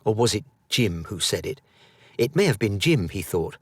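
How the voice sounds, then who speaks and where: A man narrating a children's story expressively, with dramatic, drawn-out emphasis. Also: on the low side, big pitch swings, husky, no room sound. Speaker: audiobook narrator.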